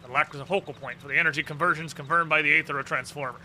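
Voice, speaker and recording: A man plays a gruff gunsmith mentor from a fantasy game, rattling off instructions at high speed. The voice is very bright and forceful, the manner salty and colloquial, with clear background noise. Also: steady tone, racing. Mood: brisk instructing. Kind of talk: reading game dialogue in character.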